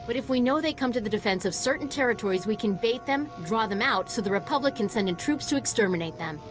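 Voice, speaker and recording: A woman's voice: fairly high pitch, animated, fast, over music, close to an everyday voice. A woman playing a serious, skeptical scientist in a video game, earnest and pointed in her delivery. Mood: guarded, earnest.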